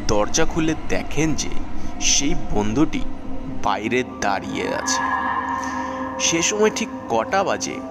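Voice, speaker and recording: Man narrating with very lively pitch over music. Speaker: horror story narrator. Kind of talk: scripted ghost story narration. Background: background music.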